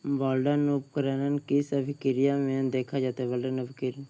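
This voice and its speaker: A man with a Bihari Hindi accent reads practice questions in a slow, level monotone, saying each one twice before he gives the answer. His voice is mellow and smooth, and faint crickets chirp behind him. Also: mid-range voice. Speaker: exam tutor. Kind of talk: reading out quiz questions and answers.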